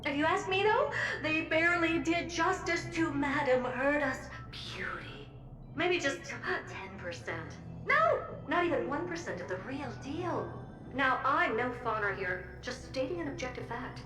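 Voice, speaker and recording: A high-voiced, wildly animated female mirror spirit from a video game, theatrical and adoring. She gushes playfully, and sits back in an echoey space with loud music behind her. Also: a little slow, far from the mic. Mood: gushing, playful.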